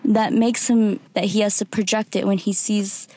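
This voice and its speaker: A woman talking candidly and informally in a conversation, thinking aloud in halting, reflective phrases. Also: medium pitch, level tone, dark tone, dry recording.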